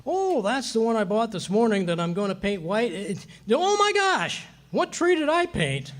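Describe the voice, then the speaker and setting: An actor playing a blustering, bumbling but soft-hearted husband in folksy, slangy 1940s radio comedy style. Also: male voice, high voice, big pitch swings, moderate pace. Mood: flustered.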